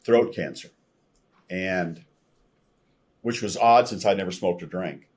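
An older American man around sixty, telling his personal testimony as a podcast guest in an earnest, halting way over a video call from a room at home. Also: sits low, a little mellow, slightly rough. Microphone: video call, computer microphone at desk distance.

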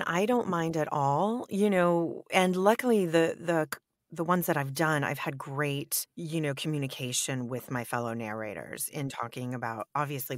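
A woman who narrates audiobooks, with a deep, raspy voice, chatting enthusiastically in an interview and often saying "you know.". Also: no echo, studio quality. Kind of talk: conversational interview answers.

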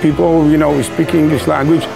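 A middle-aged man from Poland with a Polish accent, a restaurant manager answering interview questions in halting English, with fillers and easy laughs, inside a large restaurant. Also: a little high, level tone, vocal fry, music in the background, low-quality recording. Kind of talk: on-camera interview. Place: large log-cabin style restaurant, indoors.

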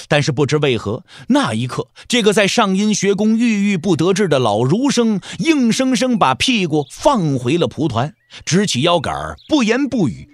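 High-pitched, animated male storyteller. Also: dry recording, clean studio sound. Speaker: narrator. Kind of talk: dramatic scripted storytelling narration.

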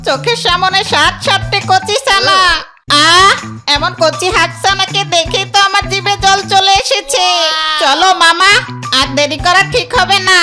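Sly young fox for kids' cartoon: piping high, whiny, greedy, quarrelsome; loud music underneath. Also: moderate pace. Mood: greedy, excited. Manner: whiny, scheming, argumentative. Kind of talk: children's cartoon voice acting. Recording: loud children's music behind the voice.

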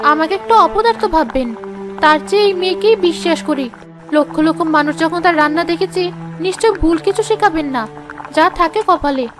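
A woman's voice: very high voice, moderate pace, music in the background. A woman voicing an anxious, timid young daughter-in-law in a cartoon, fretful and full of self-doubt. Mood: nervous, unsure. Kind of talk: cartoon story voice acting.

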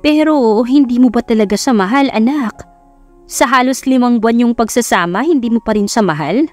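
A Filipino woman voicing a gentle older mother in an audiobook, careful and pleading with her grown son. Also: fairly high pitch, normal speed, faint music behind, lightly acted. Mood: sad, pleading.

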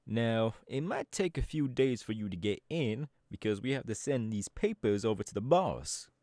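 A man's voice: medium pitch, lively intonation, moderate pace, darkish tone, no echo, studio quality, lightly acted. A man voice acting a laid-back young male DJ gang boss in an ASMR audio roleplay, talking in an easygoing, chatty drawl. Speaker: voice actor.

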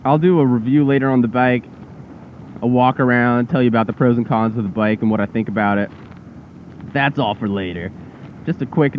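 Young guy vlogging from a scooter, lots of ums, tinny phone-like helmet mic, faint road hiss. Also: mid-range voice. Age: young adult. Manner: relaxed, rambling, frequent fillers. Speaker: motovlogger. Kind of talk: casual vlog narration while riding. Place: on a scooter on a suburban road outdoors.

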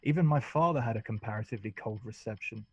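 An actor playing a witty, unruffled young bachelor gentleman in a radio play, dry and measured. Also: on the low side, husky, muffled recording. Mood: flippant.

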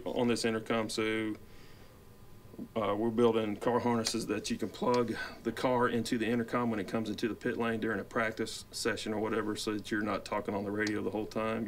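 Man, forties, very even intonation, informal technical walkthrough, explanatory, noticeable background room noise. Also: lowish voice. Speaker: company product expert. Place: indoor office workbench.

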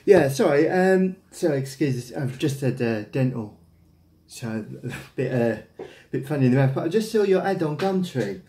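Mellow, rambling English man in echoey room. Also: fairly high pitch, animated, moderate pace. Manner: hesitant, rambling. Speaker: prank caller. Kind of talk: casual phone chat. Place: small domestic room, indoors. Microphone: camera at about arm's length.

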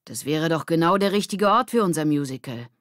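A woman's voice: deep voice, lively intonation, a little fast, no room sound, studio quality. A woman voicing an enthusiastic, friendly schoolboy with an upbeat, persuasive manner in a children's audiobook. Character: enthusiastic, friendly schoolboy showing his discovery.

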